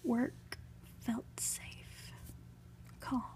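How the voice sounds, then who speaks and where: A woman reading a story aloud for ASMR in a soft, breathy whisper, hushed and deliberate, with pauses. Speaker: host reading a book aloud.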